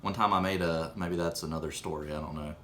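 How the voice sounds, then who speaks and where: A man with a very low voice tells a story in an offhand, conversational way. He hesitates and corrects himself as he wanders along. There is some background noise on the recording. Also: fairly even intonation, fast talker, fairly bright tone. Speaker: storyteller recalling his own childhood. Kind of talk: casual, rambling anecdote told in conversation.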